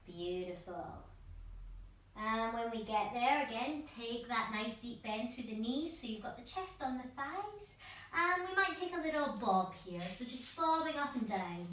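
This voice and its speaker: A woman leading a warm-up as a workshop instructor, guiding step by step in an encouraging way. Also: fairly high pitch, lively intonation, unhurried, slight background noise. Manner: encouraging, step-by-step, demonstrates as she talks. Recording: very echoey room, distant mic.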